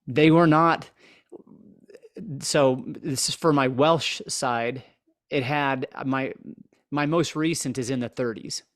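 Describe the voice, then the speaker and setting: A man with an American accent chats as a podcast host in a mid-pitched voice. He is folksy and self-deprecating, working through his thoughts aloud. The sound is close and dry. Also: steady tone, takes it slowly, long pauses, dry recording. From southeast Idaho, United States. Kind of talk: casual interview chat.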